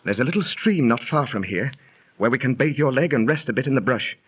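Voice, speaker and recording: A husky, earnest ancient warrior. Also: male voice, mid-range voice, lively intonation, fairly quick. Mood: urgent, caring. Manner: theatrical, archaic phrasing. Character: ancient Teutonic warrior, earnest, awed battle survivor. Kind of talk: 1930s radio drama acting. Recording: muffled, lo-fi 1930s radio recording.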